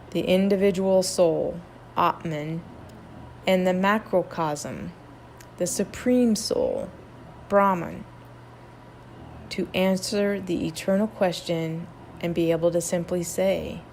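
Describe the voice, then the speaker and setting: Slow, low-voiced woman guiding meditation. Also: a little hiss or hum. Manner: gentle, measured, instructional. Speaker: meditation guide. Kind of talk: guided meditation narration.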